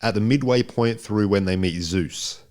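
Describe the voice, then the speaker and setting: A man's voice: fairly low pitch, normal speed, a little mellow. A man co-hosting a podcast, giving a casual film review in a rambling, jokey way and repeating himself for emphasis. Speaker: podcast co-host.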